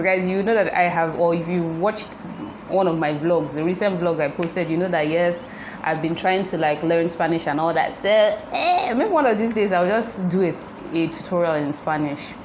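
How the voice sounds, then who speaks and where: Young lady, igbo speaker, chatty makeup vlog, lowish voice, noisy background hiss. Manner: chatty, repeats herself, laughs often. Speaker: beauty vlogger. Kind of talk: casual makeup tutorial chat.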